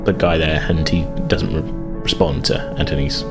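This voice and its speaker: A man's voice: rumbling low, fairly even intonation, moderate pace, over music. A man improvising narration as game master of a tabletop game, in a hedging, conversational, explanatory way.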